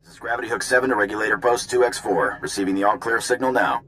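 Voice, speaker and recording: A man's voice: on the low side, lively intonation, fast, a bit bright, a little hiss or hum. A man playing a routine radio operator in a video game, making a procedural status call. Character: routine male radio operator at a mining installation.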